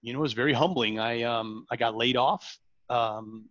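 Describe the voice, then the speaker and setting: A man in his forties from Venezuela, a sales director talking as a podcast guest, halting often with ums and restarts. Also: medium pitch, a bit bright, dry recording, lo-fi sound. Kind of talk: interview conversation.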